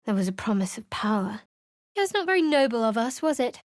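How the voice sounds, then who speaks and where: A woman's voice: fairly high pitch, sing-song, fairly quick, dry recording. A woman voicing a guarded, sardonic young cleric adventurer in a game, with a dry, cutting delivery. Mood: wry. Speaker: video game character. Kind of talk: game voice lines.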